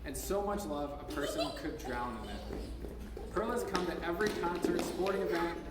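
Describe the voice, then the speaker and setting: A man's voice: a little high, normal speed. An American man in his thirties reading an essay aloud to a gathering in an informal way, now and then stumbling over his words. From United States, Minnesota. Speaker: speaker at a memorial service. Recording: echoey hall from far off, noisy.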